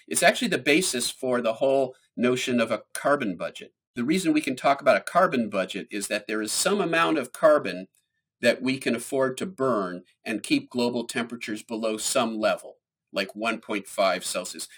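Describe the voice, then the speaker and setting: A man speaking as a scientist guest in an interview, explaining in a measured way and stressing key points. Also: mid-range voice, expressive, fairly bright tone, breathy edge.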